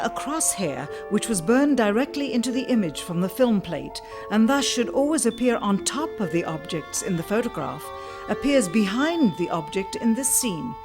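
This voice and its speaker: Formal female documentary narrator over music. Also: medium pitch. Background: music bed.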